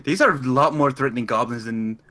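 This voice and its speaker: A man's voice: mid-range voice, fairly quick, pushed and bright. A young man chatting casually as a player in a tabletop roleplay game.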